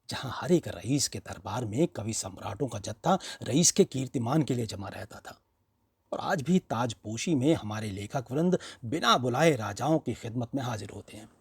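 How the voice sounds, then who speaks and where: A man narrating a story in an expressive, conversational way, dramatizing rhetorical questions as he goes. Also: medium pitch, breathy edge, no room sound. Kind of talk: expressive audiobook-style storytelling.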